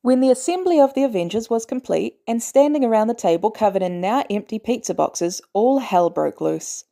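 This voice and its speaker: Female narrator for an audiobook-style fan fiction reading; warm, relaxed tone with a lively, expressive read. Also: on the high side, normal speed, gravelly. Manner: expressive storytelling, animated delivery. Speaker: podfic reader.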